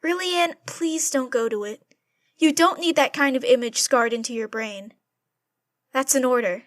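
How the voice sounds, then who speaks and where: An actress playing a kindly, motherly head maid of a castle in an audio drama, speaking gently. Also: sits high, normal speed, dry recording, close to an everyday voice. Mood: caring firmness.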